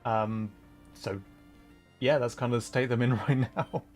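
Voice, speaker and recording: A man chatting casually on a livestream while playing a game, rambling and halting as he thinks aloud. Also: mid-range voice, fairly even intonation, darkish tone, soft background music. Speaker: livestreamer, VTuber and content creator.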